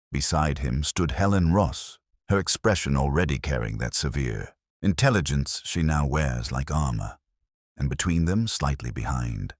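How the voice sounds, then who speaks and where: A man playing a melancholic, introspective police inspector, narrating a case in the first person in a measured, literary way for an audio drama. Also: extremely low pitch, dry recording, clean studio sound, heavily acted. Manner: measured, literary, brooding delivery.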